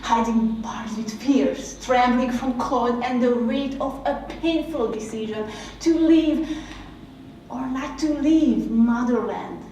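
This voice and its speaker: A woman with a Hungarian accent, a native Hungarian speaker, giving a reflective spoken essay in a slow, measured, heartfelt way. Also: a little high, warm tone, a little hiss or hum. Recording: very echoey room, mic at a distance.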